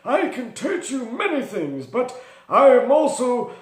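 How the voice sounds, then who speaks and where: Man voicing a high, slow old cleric. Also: very animated, heavily acted. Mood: wise, confident. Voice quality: high register. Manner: slow, self-assured, teacherly. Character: wise, strong, well-traveled old male cleric. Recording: echoey room.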